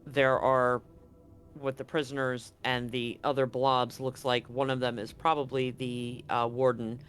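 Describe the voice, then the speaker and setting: A man's voice: medium pitch, little pitch movement, a little slow, fairly bright tone. A man narrating a tabletop game as game master in an informal, joking way.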